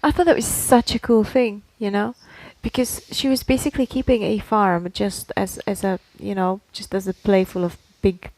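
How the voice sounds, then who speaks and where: Woman podcast co-host with creaky voice. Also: medium pitch, fairly even intonation, normal speed, few pauses, darkish tone, dry recording, rough recording. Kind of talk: casual chat.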